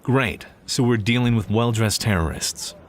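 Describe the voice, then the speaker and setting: A man's voice: medium pitch, moderate pace, a little hiss or hum, close to an everyday voice. A man playing a sardonic, blunt police detective in an audio crime drama. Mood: sarcastic, irritated. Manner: sarcastic, blunt. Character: sardonic, gruff adult male police detective. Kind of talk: audio drama acting with narration.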